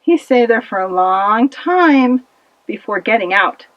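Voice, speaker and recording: A woman narrating a children's puppet-show fable with expressive, dramatic delivery, in a slightly muffled recording. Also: a little high, slow pace, no room sound. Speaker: storyteller.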